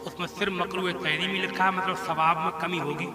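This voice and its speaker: High-pitched man preaching. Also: little pitch movement, brisk. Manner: conversational, checks listeners understand, mimics others' scolding. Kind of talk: colloquial Islamic sermon.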